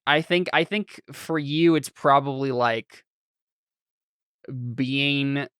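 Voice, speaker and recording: A man's voice: medium pitch, slow, a bit bright, clean tone, no echo. Guy game mastering a tabletop session, rambly with lots of ums, medium voice, dry close sound. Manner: rambling, many fillers and restarts. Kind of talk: casual game chat, reading chat.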